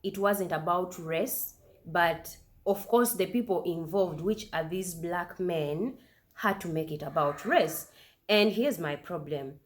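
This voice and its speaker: A woman with a slightly low voice talks through her reaction video. She argues her point in animated bursts and keeps asking sharp, disbelieving questions. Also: slow. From Uganda. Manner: animated, incredulous rhetorical questions. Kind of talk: opinionated commentary.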